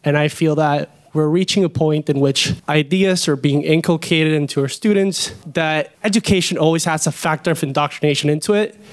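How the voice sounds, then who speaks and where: A young man from Venezuela speaks in a fairly high voice. He is a student addressing a panel with a question from the audience. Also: normal speed. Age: young adult.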